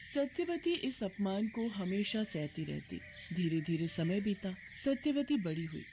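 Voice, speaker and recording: A woman's voice: mid-range voice, big pitch swings, unhurried, dark tone, a little hiss or hum. A woman narrating a scripted devotional story as a storyteller. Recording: music bed behind voice.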